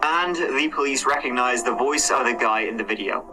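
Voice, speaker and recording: A man's voice: mid-range voice, moderate pace, loud music behind the voice, poor audio. A man casually retelling a true crime case in a conversational way, with hedges and fillers. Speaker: true crime reaction host.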